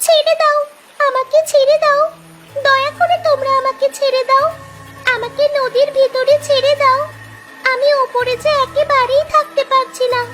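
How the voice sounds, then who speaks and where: A woman's voice: extremely high pitch, flat delivery, moderate pace. A woman voicing a small magic talking fish in a cartoon, pleading insistently. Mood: pleading, distressed. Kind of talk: Bengali moral-tale cartoon dubbing. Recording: loud music under the voice.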